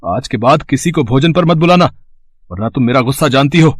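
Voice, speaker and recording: A man voicing a gruff, short-tempered village bird-catcher in a moral-story cartoon, blunt and grudging with his wife. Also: medium pitch, expressive, a little fast, dry recording, clean studio sound. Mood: shocked, angry.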